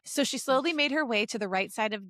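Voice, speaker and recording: A woman's voice: on the high side, animated, fast talker, bright, forceful tone, dry recording, clean studio sound. A woman podcast host reading a story aloud in a playful manner, slipping into joking banter and asides. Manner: playful, breaks off for jokey asides.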